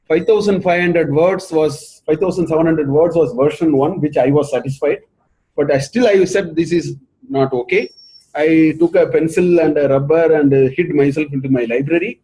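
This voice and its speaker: A man's voice: fairly high pitch, warm tone, husky, rough recording. A middle-aged man with an Indian accent giving an earnest lecture, repeating his points for emphasis. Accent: Indian English. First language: not English. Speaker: professor giving a research talk.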